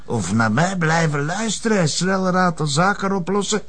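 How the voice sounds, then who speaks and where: A man's voice: high voice, expressive, normal speed, a bit bright. An actor playing a wily retired police commissioner in a crime drama, an older man with a Flemish accent and a teasing, chuckling manner. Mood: smug, amused. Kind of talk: television crime drama acting.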